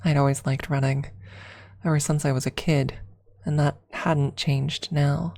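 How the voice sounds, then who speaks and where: A woman with a creaky voice narrating a scripted story in an ASMR style. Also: low voice, little pitch movement, measured pace, darkish tone. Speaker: streamer and story reader.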